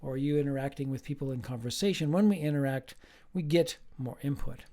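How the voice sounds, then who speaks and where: A man, a professor, giving a slow, emphatic recorded lecture with playful asides. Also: mid-range voice, fairly even intonation, mellow.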